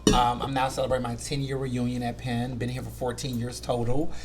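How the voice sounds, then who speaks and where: A man in his thirties from Houston with a light Southern accent, chatting as a guest about his work as a food editor, animated and full of "you know". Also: medium pitch, brisk, over music. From Houston, Texas. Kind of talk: casual conversation.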